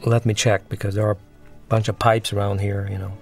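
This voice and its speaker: A man with a low, creaky voice talks through a film as its director. His commentary is informal and reflective, with pauses as he searches for words. Music plays behind him. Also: flat delivery.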